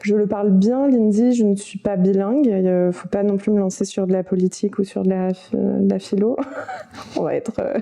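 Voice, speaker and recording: A woman's voice: mid-range voice, steady tone, normal speed, gravelly, mellow. A woman in her thirties, a yoga teacher, talking casually as a podcast guest, hesitant with many fillers and frequent laughs.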